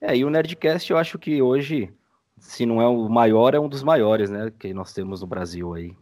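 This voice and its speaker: A man's voice: medium pitch, moderate pace, darkish tone. A Brazilian man chatting casually as a guest in a podcast interview. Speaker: podcast guest, audio drama creator.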